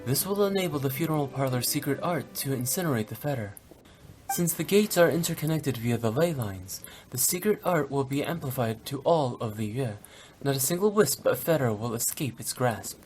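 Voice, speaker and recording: This is a confident, playful young female funeral-parlor director in a video game. She lays out plans in a low, steady voice. Faint music sits in the background. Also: brisk. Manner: self-assured, briefing the group.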